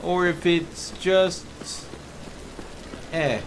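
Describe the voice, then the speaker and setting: A man's voice: high-pitched, drawn-out words. A man live streaming a video game, musing to himself in casual commentary. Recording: game noise behind commentary. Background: video game ambience.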